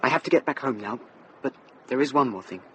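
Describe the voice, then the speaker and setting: A young actor playing an earnest, well-bred youth, grateful and eager, in a radio drama. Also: male voice, mid-range voice, lively intonation, quick pace, fairly bright tone, slight background noise, natural voice. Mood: shy eagerness. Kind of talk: British radio drama acting.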